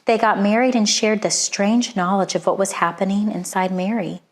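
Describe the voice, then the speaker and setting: A woman reading a children's storybook aloud as a storyteller, with expressive, deliberate phrasing and dramatic pauses. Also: medium pitch.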